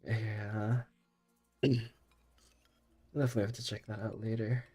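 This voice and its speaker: A man's voice: lowish voice, flat delivery, a little slow, dark tone, husky, soft background music. A young man streaming a game while sick, chatting in a rambling way and laughing often. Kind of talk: casual gaming stream chat.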